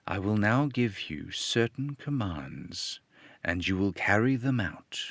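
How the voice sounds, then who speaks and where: A man playing a menacing, commanding hypnotist villain in an old-time radio serial, speaking in measured, deliberate orders. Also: on the low side, animated, a bit bright, dry recording, heavily acted. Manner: slow, commanding, measured delivery. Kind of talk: 1930s radio serial acting.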